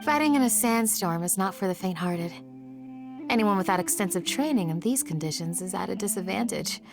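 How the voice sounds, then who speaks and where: A woman's voice: mid-range voice, animated, brisk, gravelly, over music. A woman playing a gracious, firm guardian in a video game, composed, courteous and authoritative. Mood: calm, assured.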